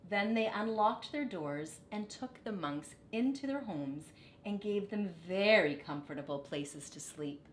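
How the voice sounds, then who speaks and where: Animated woman reading a storybook in an echoey room. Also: a little slow. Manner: animated, dramatic phrasing for children. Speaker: storybook reader. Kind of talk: expressive children's story read-aloud. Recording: echoey room, faint low rumble.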